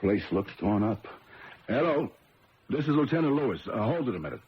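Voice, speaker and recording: A man's voice: medium pitch, sing-song, fairly quick, natural voice. A man playing a no-nonsense police lieutenant in a 1970s radio drama, clipped and businesslike, on a muffled, noisy recording. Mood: brisk.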